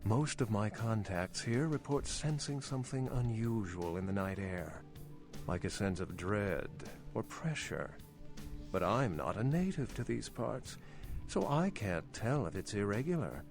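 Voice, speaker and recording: Worldly vampire scholar, game character, low voice, lively intonation, wry, articulate, formal phrasing. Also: male voice, unhurried, music in the background. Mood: cool, courteous. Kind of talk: video game character dialogue.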